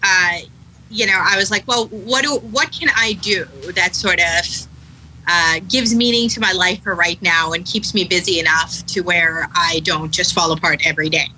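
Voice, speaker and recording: A woman in her thirties with an American accent, a guest attorney answering interview questions candidly and reflectively, with frequent fillers and pauses. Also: lowish voice, bright, forceful tone, low-quality recording. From Pakistan, raised in Atlanta. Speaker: guest, attorney, nonprofit founder. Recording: faint background noise.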